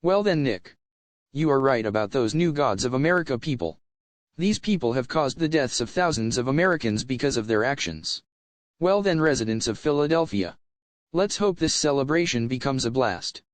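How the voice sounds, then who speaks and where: A man's voice: mid-range voice, animated, normal speed, dry recording, natural voice. A man playing an earnest studio news anchor in an amateur mock broadcast.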